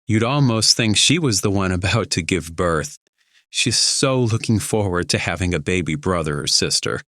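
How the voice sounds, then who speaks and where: An actor playing a calm, soothing meditation guide and father in an audio drama. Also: low-pitched, moderate pace, no room sound. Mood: fond.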